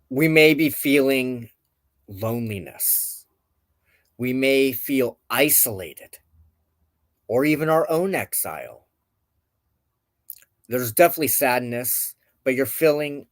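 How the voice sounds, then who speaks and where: A man hosting a spiritual tarot reading, speaking reflectively in deliberate phrases with frequent pauses. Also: mid-range voice, dry recording, clean studio sound. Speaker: host of a spiritual tarot show. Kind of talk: tarot card reading, reflective spoken commentary.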